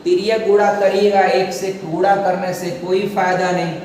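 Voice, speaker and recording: A man teaching a math lesson at a board, who often calls the students "dear" and repeats each phrase slowly as he writes it out. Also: sits high, level tone, darkish tone, a little hiss or hum. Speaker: maths teacher. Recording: echoey room, voice from far off.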